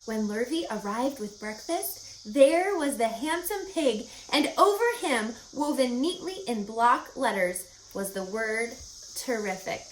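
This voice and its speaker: Animated woman reading a storybook aloud. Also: a little high, unhurried. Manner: expressive, explanatory, emphatic. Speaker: storybook reader. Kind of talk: animated children's read-aloud narration. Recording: roomy echo with faint background noise.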